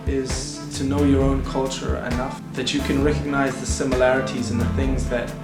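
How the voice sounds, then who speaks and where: A man speaking in an earnest, deliberate way, giving thoughtful comments in an interview. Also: medium pitch, level tone. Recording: echoey and distant, with loud guitar music.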